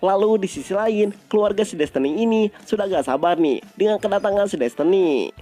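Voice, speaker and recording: A man's voice: high voice, expressive, fairly quick, mellow, soft background music. A man with an Indonesian accent narrating a story recap in a casual, colloquial storytelling style.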